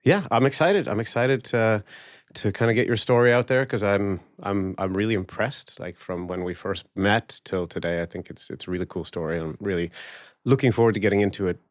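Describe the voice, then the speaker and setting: Chatty low-voiced male podcast host. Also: brisk, dry recording, studio quality. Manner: chatty, filler-heavy, upbeat. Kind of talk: casual podcast interview.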